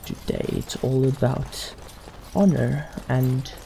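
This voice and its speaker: A man's voice: medium pitch, wildly swooping pitch, slow, gravelly, mellow, slight background noise. A man hosting a let's play, musing aloud in casual game commentary with some role-play. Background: game music.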